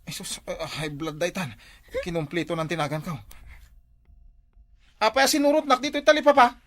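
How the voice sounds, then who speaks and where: A man's voice: sits high, normal speed, a bit bright. A man with an Ilocano accent playing a flirty, exasperated husband in a comedy drama, sweet-talking and protesting. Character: flirty, exasperated husband, easily embarrassed by his wife.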